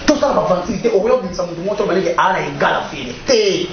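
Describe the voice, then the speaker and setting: A young Congolese man argues casually and forcefully, repeating his points. His high voice swings widely in pitch. The sound is roomy, in a casual interview setting. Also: expressive, a little fast, muffled recording, a crowd in the background. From Democratic Republic of the Congo. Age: young adult. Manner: emphatic, repetitive, argumentative. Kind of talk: animated casual debate.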